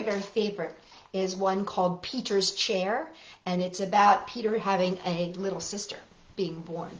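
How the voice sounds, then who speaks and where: A middle-aged woman reading picture books and chatting to young children as a storytime host, expressive and deliberate, with emphatic repetition, recorded indoors in a living room. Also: fairly low pitch. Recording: boxy roomy sound, dull lo-fi.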